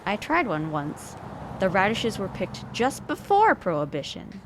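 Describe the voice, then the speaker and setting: A detective's female partner talks in an expressive, animated voice of medium pitch. It is conversational radio drama acting, with clear traffic noise behind. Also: a little slow. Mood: wry. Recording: clear roadside background noise.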